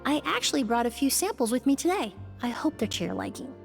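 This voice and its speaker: A woman voicing a composed, polite young perfumer in a video game, calm, precise and explanatory. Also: high voice, quick pace, gravelly, faint music behind, exaggerated voice. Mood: gentle, modest.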